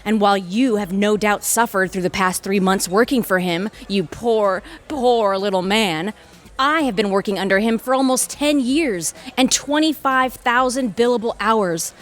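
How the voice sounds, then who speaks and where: An actress playing an exacting, irritated senior lawyer in an audio drama, speaking in a cutting, sarcastic and articulate way. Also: female voice, medium pitch, moderate pace, lightly acted. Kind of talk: audio theatre dialogue acting.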